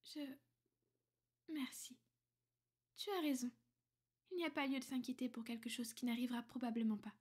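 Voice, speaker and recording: A woman voicing a powerful, protective, ancient vampire noblewoman in an ASMR roleplay, soft-spoken and breathy, formal and measured, slipping into whispers. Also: a little high. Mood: grateful, affectionate. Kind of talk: French ASMR girlfriend roleplay.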